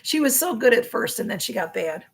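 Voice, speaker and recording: A woman's voice: a little high, big pitch swings, fairly quick, creaky, slightly rough. A woman in her sixties chatting casually on a live stream, reading viewer comments aloud, rambling with lots of fillers and frequent laughs. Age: 60s. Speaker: livestream host.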